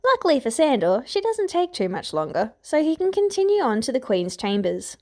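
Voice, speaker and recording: A woman reads fiction aloud as a podfic narrator. Her voice sits fairly high, and the delivery is scripted storytelling. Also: wildly swooping pitch, a little fast, mellow.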